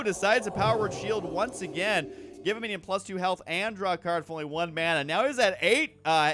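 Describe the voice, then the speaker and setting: A man hosting a gaming video, talking casually through his moves as he thinks aloud in a rambling way. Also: on the high side. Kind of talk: casual card game commentary, thinking aloud.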